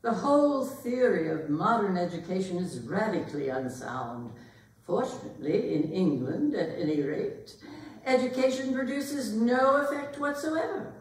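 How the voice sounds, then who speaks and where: In a very echoey hall, far from the microphone, a woman plays an imperious society mother. She delivers pompous verdicts with lively, swooping intonation, as in a period stage comedy. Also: medium pitch, normal speed, close to an everyday voice. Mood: haughty, imperious. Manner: pompous, pronouncing grand opinions. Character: imperious upper-class older mother interrogating a suitor. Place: performance hall, recorded from a distance.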